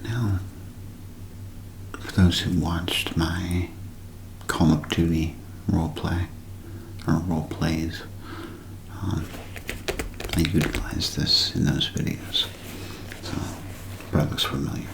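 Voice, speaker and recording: A man doing a whispered ASMR show-and-tell, speaking in a hushed, unhurried way with gentle pauses. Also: low-pitched, fairly even intonation, creaky, noisy background. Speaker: ASMR creator.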